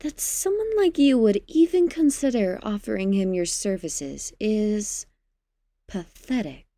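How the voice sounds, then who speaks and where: A woman acting an unhurried, expressive regal queen. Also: mid-range voice, dry recording. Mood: condescending, stern. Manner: measured, haughty, teasing. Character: regal, wry queen, fond but condescending. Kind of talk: audio drama acting.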